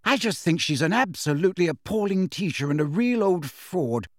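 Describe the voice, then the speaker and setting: A man's voice: sits high, animated, moderate pace, no echo, studio quality, pitched above the performer's own voice. A man voicing a prim teenage schoolgirl with a severe, lofty manner, reading a storybook.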